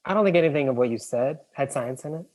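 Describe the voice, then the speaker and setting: A man playing a laconic, teasing young man driving his friend in a table read, terse and deadpan. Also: medium pitch, fast talker, natural voice.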